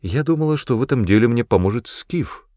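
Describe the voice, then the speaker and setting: A man's voice: mid-range voice, animated, a little fast, darkish tone, dry recording, clean studio sound, voice pushed up, close to an everyday voice. A man voicing a playful, self-assured woman adventurer in an audiobook, teasing and assertive.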